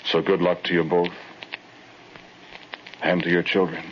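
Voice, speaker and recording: An actor playing an earnest male survivor telling his grim story in a solemn, measured 1940s radio drama style. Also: low-pitched, flat delivery, a little hiss or hum. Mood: grave, tender. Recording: muffled old radio recording with music.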